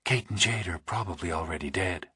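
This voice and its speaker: A man voicing a serious, matter-of-fact female investigator with a husky voice in a thriller audiobook. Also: sits low, fairly quick, bright, forceful tone, no echo, full character voice. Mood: concerned.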